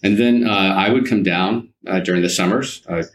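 A man's voice: low-pitched, normal speed, a little hiss or hum. A man, reminiscing conversationally as an interview guest, rambling and often rephrasing himself. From United States, partly Alabama and California. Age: 50s. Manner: rambling, circles back and rephrases.

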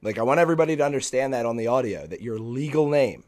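A man co-hosting a casual gaming podcast, with a hoarse, raspy voice and an animated way of jumping in. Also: medium pitch, fast. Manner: animated, interrupts often. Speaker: podcast co-host.

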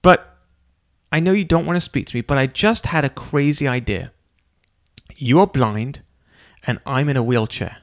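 A man voicing a cheerful, eager elderly man in a wheelchair, talking in excited, pleading bursts. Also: mid-range voice, moderate pace, creaky.

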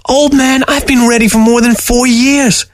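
A sly storybook fox acted out by a man, high-pitched and taunting. It needles its listener with folksy, sardonic teasing, in a dry, close recording. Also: steady tone, moderate pace, dry recording. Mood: exasperated. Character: sardonic, taunting dead fox, talking to its taxidermist.

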